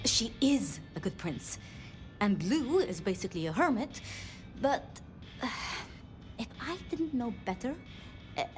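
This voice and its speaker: An actress playing a modest, unsure vampire apprentice in a video game, speaking haltingly and self-deprecatingly. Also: female voice, mid-range voice. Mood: hesitant confiding. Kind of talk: video game dialogue acting. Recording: music behind the voice.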